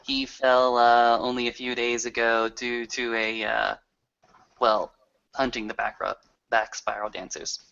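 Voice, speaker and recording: A man with a bright, clear voice of middle pitch improvises in character at a roleplaying session. He feels his way through the exposition haltingly. The recording is slightly dull and lo-fi. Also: flat delivery. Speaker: tabletop roleplay player. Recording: dull lo-fi audio.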